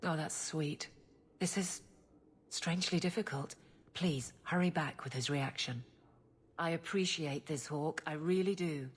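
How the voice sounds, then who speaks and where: An actress playing a brisk, earnest and awkwardly guarded guard captain in a fantasy video game, with a direct, plainspoken manner. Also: female voice, sits low, husky. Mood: awkward, grateful. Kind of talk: dramatic video game acting.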